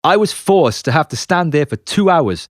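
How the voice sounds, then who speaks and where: A man reading his own memoir as an audiobook, in an expressive, confessional storytelling manner with dramatic pauses. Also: a little high, no room sound, studio quality. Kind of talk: scripted memoir narration. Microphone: close, dry studio narration mic.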